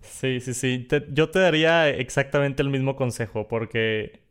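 A man's voice: mid-range voice, normal speed, a bit bright, smooth. A man with a northern Mexican accent hosting a podcast, chatting casually and often repeating words as he restarts. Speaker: podcast host.

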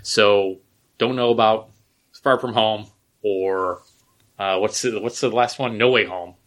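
A man's voice: lowish voice, steady tone, a little slow. A man co-hosting a casual podcast who rambles through movie news and trails off as he searches for titles. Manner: rambling, loses words mid-sentence. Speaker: podcast co-host.

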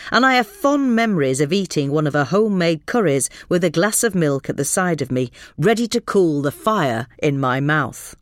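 A woman's voice: low-pitched, no room sound, studio quality. A British woman narrating a scripted magazine article aloud. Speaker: article reader.

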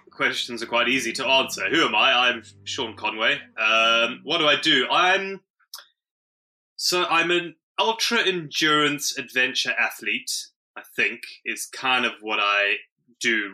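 A man's voice: medium pitch, slow pace, sharp tone, rough recording. A man from South Africa, a podcast guest, talking casually in a rambling, self-correcting way and chuckling often. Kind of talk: casual interview talk.

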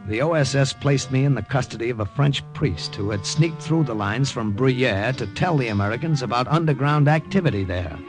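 An actor playing an earnest young American army sergeant who also narrates, in a 1940s radio drama. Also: male voice, medium pitch, animated, fairly quick, hardly any pauses, over music, lightly acted. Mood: narrating.

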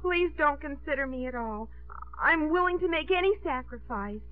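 An indignant young daughter with a high, girlish pitch and lively swoops. She is melodramatic and martyred, heard through the thin band of an old radio broadcast. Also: moderate pace. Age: young adult. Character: melodramatic, indignant, self-sacrificing teenage daughter. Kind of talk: 1950s radio comedy-drama acting. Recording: tinny vintage radio broadcast, faint music.

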